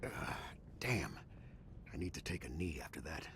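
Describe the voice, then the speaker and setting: A male actor playing a gruff, folksy, weary American gunslinger hero in a video game, with a gravelly voice. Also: a bass voice, big pitch swings, normal speed, a bit bright, full character voice. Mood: weary, pained. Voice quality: gravelly, husky.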